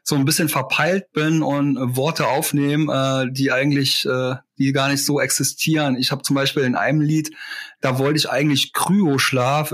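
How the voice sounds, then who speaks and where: A man's voice: mid-range voice, a bit bright. A man, a musician, chatting loosely in an interview, rambling with many fillers and restarts. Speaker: musician guest. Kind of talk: casual interview chat.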